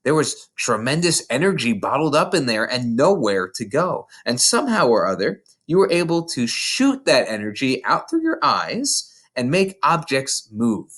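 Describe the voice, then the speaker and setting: An adult reader voicing a kind, thoughtful woman schoolteacher in a storybook, gently explaining. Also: mid-range voice, very animated, moderate pace, few pauses. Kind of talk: character dialogue in storybook reading.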